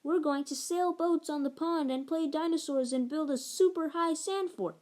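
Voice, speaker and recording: A woman's voice: high-pitched, normal speed, darkish tone, voice pushed up, natural voice. A woman voicing an eager, imaginative little boy in a picture-book story, exclaiming playfully. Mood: disappointed, whiny.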